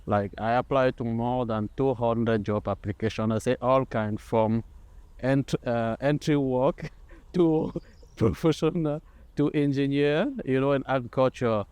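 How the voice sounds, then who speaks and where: A man's voice: medium pitch, unhurried, a little mellow, clear, resonant tone, dry recording. A man from Cameroon speaking conversationally in a documentary interview, often saying "you know".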